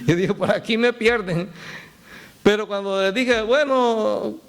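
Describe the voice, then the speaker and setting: Male pastor, high-pitched, conversational preaching with asides to the flock. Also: lively intonation, takes it slowly. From El Salvador. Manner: storytelling with laughs and direct appeals to the congregation. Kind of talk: sermon.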